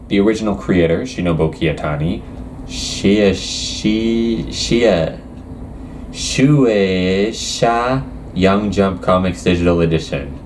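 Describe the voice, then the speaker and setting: A man's voice: low voice, darkish tone, slight background noise. A young man casually reacting to anime news, reading quotes aloud and rambling with lots of hesitations.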